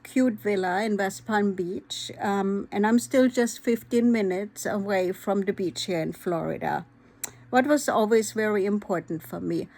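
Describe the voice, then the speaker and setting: A woman, talking candidly and earnestly to her vlog audience, pausing often with "um". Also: fairly high pitch, darkish tone. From Vojvodina, former Yugoslavia; raised in Germany. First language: Hungarian. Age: 50s. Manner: earnest, candid, frequent "um" pauses. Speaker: vlogger. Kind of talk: personal vlog talk.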